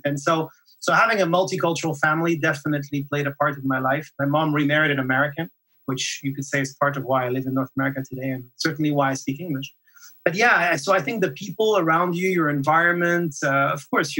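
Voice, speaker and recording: Bright, husky-voiced man talking reflectively. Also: fairly high pitch, rough recording. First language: French. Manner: reflective, many restarts and repeated words. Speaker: podcast guest. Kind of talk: conversational interview.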